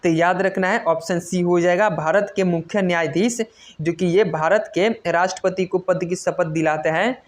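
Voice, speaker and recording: A woman's voice: on the low side, fairly even intonation, normal speed. A woman teaches in a quiz-style exam lesson, reading out questions and stressing each answer repeatedly in an instructional way. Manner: instructional, repetitive emphasis on key answers. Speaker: exam coach.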